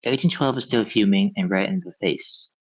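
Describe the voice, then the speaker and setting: A man's voice: on the low side, normal speed, slightly rough. A man reading scripted scene directions as narrator at a table read. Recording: dull, lo-fi sound.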